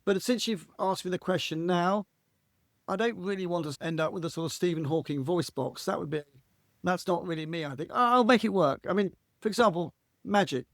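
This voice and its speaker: A man with a husky voice, a podcast guest talking reflectively and earnestly, often restarting his phrases. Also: high-pitched, fairly quick, no room sound, clean studio sound. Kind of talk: reflective conversational interview.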